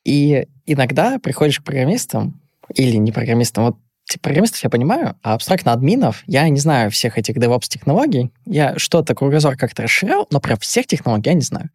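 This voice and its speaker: A man's voice: mid-range voice, big pitch swings, brisk, darkish tone, slightly rough, dry recording, studio quality. A man who is a guest on a casual podcast, talking in a plain and straightforward way and telling anecdotes. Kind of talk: casual chat.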